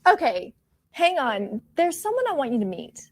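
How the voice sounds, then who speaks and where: A woman interviewing people on camera, giving friendly, clear directions. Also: high voice, sing-song, moderate pace. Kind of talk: video interview prompts. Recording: video clip with music behind.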